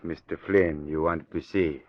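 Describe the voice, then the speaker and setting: An actor playing an eerie, placid undertaker in an old radio mystery, courteous and morbidly serene. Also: male voice, rumbling low, steady tone, unhurried. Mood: calm, creepy. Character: eerie, placid male undertaker fond of the dead. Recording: dull old radio recording.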